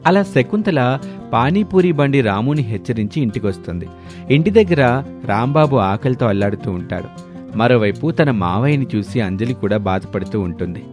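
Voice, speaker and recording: A man's voice: mid-range voice, animated, normal speed, music in the background. A man narrating a scripted moral story as a storyteller.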